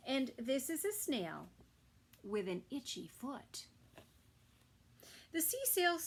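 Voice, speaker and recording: A woman reads a children's picture book in a fairly high voice that swings expressively up and down. She takes it very slowly, with sing-song phrasing and soft asides to her young listeners. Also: gravelly. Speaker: children's story time reader. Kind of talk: expressive picture-book read-aloud for toddlers.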